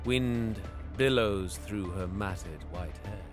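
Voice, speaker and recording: Man acting a low, brooding, bitter alien prince. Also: takes it slowly, over music. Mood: brooding, disdainful. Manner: theatrical, disdainful, savoring. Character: bitter, covetous young alien prince, scheming and bloodthirsty. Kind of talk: dramatic first-person narration and dialogue, audio drama.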